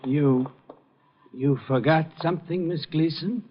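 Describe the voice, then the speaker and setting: A man's voice: on the high side, slow, a little hiss or hum. An actor playing a deferential, helpful night watchman in a 1950 radio drama, speaking in a plain, obliging way. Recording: dull old radio recording, faint music.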